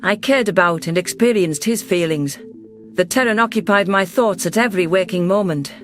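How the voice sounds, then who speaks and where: A man with a notably high voice reads first-person science fiction in a steady, measured, scripted manner. His delivery stays even throughout the narration. Soft music plays faintly beneath him.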